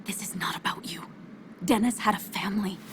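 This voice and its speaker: An actress playing an earnest, principled journalist in a video game drama, speaking in an emotional, pleading way. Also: female voice, mid-range voice, brisk, a bit bright, in a whisper, lightly acted. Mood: hurt, firm. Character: earnest, principled female journalist, anxious but loyal friend.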